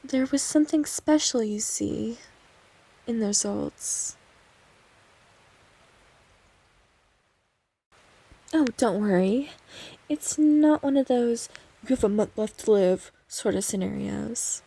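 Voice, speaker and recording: Woman acting a coy, gravelly-edged vampire woman, unhurried. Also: on the high side, animated, heavily acted, low-quality recording. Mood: coy, reassuring. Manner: coy, softly teasing, rambling explanations. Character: obsessive female vampire lab technician, coy and eerily polite. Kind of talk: scripted ASMR roleplay addressed to the listener.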